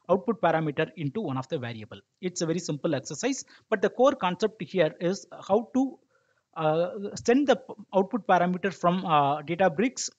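A man narrating a software tutorial step by step as an instructor, explaining and checking that listeners follow, in a lo-fi recording. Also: high voice. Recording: dull lo-fi microphone sound.

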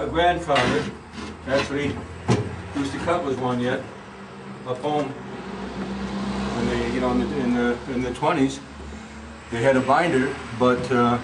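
A man's voice: mid-range voice, noisy background. An older man guiding a tour through an old wooden barn, explaining farm tools in a folksy, rambling way. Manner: folksy, rambling, full of "you know" and "okay?". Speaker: museum guide. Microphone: camera mic, handheld camera.

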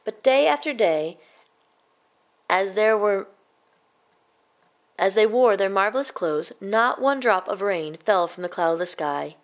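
A woman storyteller reading a children's picture book aloud in a measured, expressive way. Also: mid-range voice.

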